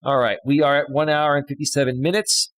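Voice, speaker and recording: A man in his thirties with a loud voice, hosting a casual live stream as a life coach, chatty and full of fillers, often tagging on "right?". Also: fairly high pitch, little pitch movement, fairly quick, dry recording. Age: 30s. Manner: chatty, filler-heavy, keeps adding "right?". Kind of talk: casual live-stream talk, reading chat posts. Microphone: studio dynamic microphone.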